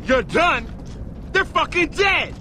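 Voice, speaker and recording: Swaggering gang boss, bright forceful voice. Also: male voice, very high voice, animated, takes it slowly, slight background noise, heavily acted. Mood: enraged, grieving. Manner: profane, swaggering, confrontational. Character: menacing, embittered male gang boss and fuel baron. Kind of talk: video game cutscene acting.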